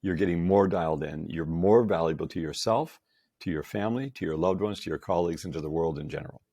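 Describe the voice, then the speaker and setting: An upbeat man in his sixties holds forth as a podcast guest, his low voice rough with gravel and a husky grain. He hammers his points with repeated emphasis. The sound is close and dry. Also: quick pace, warm tone, no echo. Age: 60s. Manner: enthusiastic, emphatic, laughs often. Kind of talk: casual chat.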